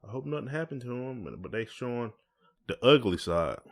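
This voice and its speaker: A man's voice: medium pitch, big pitch swings, fairly quick. A middle-aged American man hosting a podcast, chatting in a colloquial, reflective, conversational way. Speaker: podcast host. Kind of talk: casual chat.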